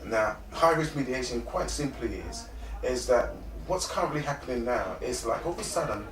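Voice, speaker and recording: A man's voice: medium pitch, lively intonation, moderate pace. A middle-aged man with a London accent, telling his own story as an interview guest in colloquial, emphatic speech that repeats words for effect. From North London, born in Trinidad and Tobago. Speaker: interview guest, conflict engagement specialist and mediator. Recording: echoey room, distant mic.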